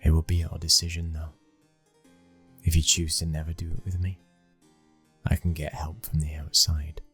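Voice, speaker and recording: A British man playing a brooding, dominant young mafia boss's son in an intimate ASMR roleplay, speaking with slow, commanding control. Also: a bass voice, flat delivery, a bit bright, slightly rough, faint music behind, heavily acted. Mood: guarded, frank.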